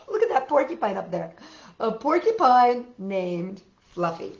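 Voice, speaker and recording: A woman with a very melodic, swooping voice presents stories to young children in an expressive, exaggerated style. She takes her time over each phrase. The recording has a noticeable room echo. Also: on the high side, a little slow, vocal fry, lo-fi sound. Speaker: children's storytime host. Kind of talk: animated picture-book read-aloud and sing-along for young children. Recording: roomy echo with guitar music.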